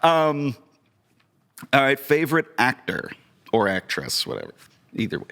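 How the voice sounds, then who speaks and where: A man with a medium-pitched voice hosts a podcast. He chats casually and puts questions to the guest in a loose, informal and easygoing way. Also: very animated, unhurried, gravelly, fairly bright tone. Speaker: podcast host. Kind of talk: casual chat, asking quick questions.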